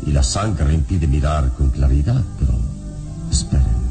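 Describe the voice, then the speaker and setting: A calm, heroic adventurer from a radio serial speaks with a very deep voice. The delivery is deliberate and solemn, with wide melodic swings, over background music. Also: male voice, exaggerated voice. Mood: pensive. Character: calm, commanding heroic male adventurer investigating a murder. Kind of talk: Spanish-language radio serial acting.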